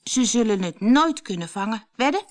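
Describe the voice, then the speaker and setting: Woman as little boy, naive, curious, earnest, raised lilting pitch, storybook character. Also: animated, moderate pace, lightly acted. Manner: wondering, earnest questions.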